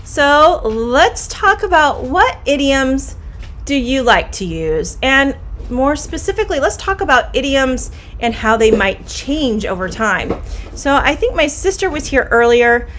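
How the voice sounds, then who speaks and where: A middle-aged woman with a Midwestern American accent, teaching English idioms on a live stream, friendly and repeating phrases slowly. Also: sits high, very animated, a bit bright, slight background noise.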